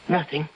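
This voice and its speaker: An actress playing a fretful, ailing, doting wife in an old-time radio drama, with dramatic, halting, emotional delivery. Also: female voice, fairly low pitch, breathy edge, exaggerated voice. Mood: despairing. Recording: dull, band-limited radio drama recording.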